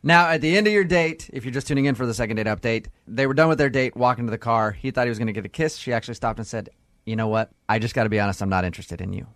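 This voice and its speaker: Fast-talking male radio host. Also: mid-range voice, a bit bright, no room sound, studio quality. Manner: teasing, jokey. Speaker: radio morning show host. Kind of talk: casual radio banter.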